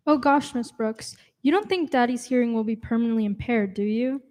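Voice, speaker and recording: A woman acting as an anxious, dutiful teenage girl in an old-time radio comedy. Her voice is high and echoes with the long reverb of a hall. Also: brisk, a little mellow, natural voice.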